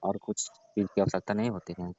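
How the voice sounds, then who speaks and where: A man's voice: fairly low pitch, flat delivery, fairly quick, mellow, close to an everyday voice. A man playing a nagging, teasing customer in a comic phone call, prodding in colloquial, casual talk. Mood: teasing, prying. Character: nagging, teasing male customer complaining about fruit. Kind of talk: staged comic phone conversation, casual chat. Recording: dull, dry lo-fi call recording.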